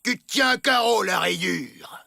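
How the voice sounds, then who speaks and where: An actor playing a short-tempered, bossy outlaw gang leader in a cartoon, barking impatiently. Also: male voice, high voice, unhurried, vocal fry, background noise, exaggerated voice. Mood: bossy, scheming. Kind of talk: exaggerated cartoon dub acting. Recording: music behind the voice.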